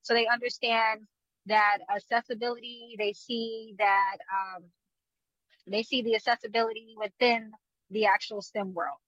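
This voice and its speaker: A woman's voice: fairly high pitch, level tone, a little slow, fairly bright tone, no echo, dull audio. A woman from DC, a guest on a show, answering upbeat and laughing between answers. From Washington DC. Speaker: guest, business owner. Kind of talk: casual interview talk.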